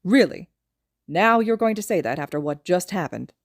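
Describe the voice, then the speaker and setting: A woman's voice: sits low, expressive, fast talker, dark tone, no echo, studio quality. A woman voicing an irritated, weary older man, a retired hero, in an audiobook-style story reading, insistent and exasperated.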